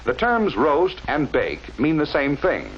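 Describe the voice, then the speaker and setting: A dull, slightly noisy vintage film soundtrack carries a man narrating in 1940s training film style. His voice sits a little high and he explains in a measured, didactic manner, enunciating each term with care. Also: huge pitch swings.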